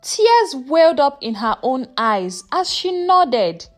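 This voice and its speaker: A woman reading a scripted folktale as a storyteller, in an expressive, dramatic way. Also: sits high, slow pace, soft background music.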